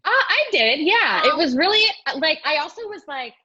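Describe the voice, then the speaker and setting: A woman's voice: high voice, big pitch swings, moderate pace, pushed and bright. A woman, a former child actor, chatting casually at a reunion over a lo-fi video call. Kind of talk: casual chat. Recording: dull lo-fi video call audio.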